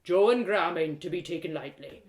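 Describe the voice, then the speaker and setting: A woman voicing a gruff, kindly male innkeeper with folksy, rustic phrasing in a storybook reading. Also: lowish voice, animated, a little fast, roomy sound, lightly acted.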